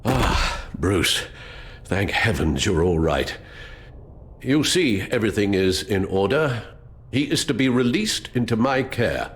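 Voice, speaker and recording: A man playing a loyal, formal older butler, measured and reassuring, in video game dialogue. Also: fairly low pitch, animated, husky, full character voice. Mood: relieved, polite.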